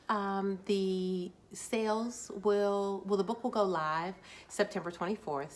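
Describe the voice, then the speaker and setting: A woman in her forties, a children's book author, talking casually and upbeat to camera, a little nervous and restarting words. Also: mid-range voice. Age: 40s.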